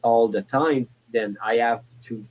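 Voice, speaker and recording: A man in his forties calling in to a talk show, speaking in a halting way with many restarts and fillers. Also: mid-range voice, steady tone, highs cut off. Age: 40s. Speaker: caller. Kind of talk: casual call-in chat.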